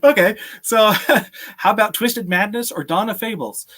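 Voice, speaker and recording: A man's voice: high voice, a little slow, sharp tone, a little hiss or hum. A man in his thirties hosting a live stream, chatting casually and answering viewer questions in a friendly, appreciative way. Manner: friendly, appreciative, chuckles often. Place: small room at home, indoors.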